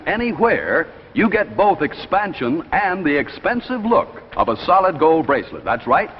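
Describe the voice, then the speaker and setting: An American man delivering a polished, emphatic 1950s commercial pitch straight to the viewer, on a dull old broadcast recording. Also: breathy edge. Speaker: commercial announcer and presenter. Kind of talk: 1950s live television sales pitch. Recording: dull, hissy 1950s broadcast, long reverb.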